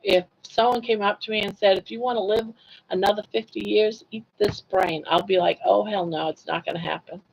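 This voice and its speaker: A woman's voice: medium pitch, fairly even intonation, fairly quick, gravelly, breathy edge, low-quality recording. An older woman talking casually on a livestream, chatty and full of laughter as she tells stories. She often turns to answer comments from her viewers. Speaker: livestream host.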